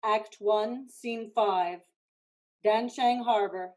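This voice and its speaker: A woman narrates a staged play reading. She delivers scripted directions slowly. Her pitch is average for a woman. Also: fairly even intonation, poor audio.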